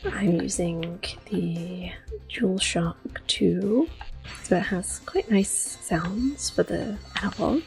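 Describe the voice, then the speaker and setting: Woman, 30s, ASMR gaming host: slow, soft-spoken delivery drifting into whispers, close-miked, faint music underneath. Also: fairly low pitch, gravelly. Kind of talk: ASMR gameplay commentary.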